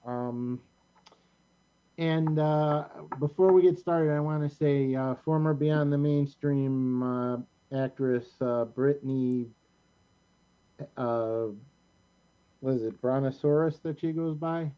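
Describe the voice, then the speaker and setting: A middle-aged American man hosting a casual show in a rambling, halting way. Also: mid-range voice, clear, resonant tone, dull audio. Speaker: host of a community show.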